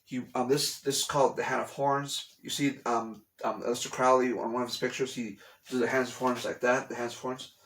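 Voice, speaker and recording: A man in a casual home vlog, talking in a middle-pitched voice. He rambles, circles back to repeat phrases and bluntly waves off conspiracy theories. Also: level tone, a little fast, a bit bright. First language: English. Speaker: vlogger. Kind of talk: casual explanatory monologue. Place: at home, room with a TV.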